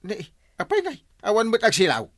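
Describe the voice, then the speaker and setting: Man acting as henpecked middle-aged husband, Ilocano accent, very animated pitch, grumbling, exclamatory, comic audio drama. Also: a little fast, no echo, clean studio sound, heavily acted. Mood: startled, grumbling. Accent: Filipino, Ilocano. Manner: exclamatory, full of startled interjections.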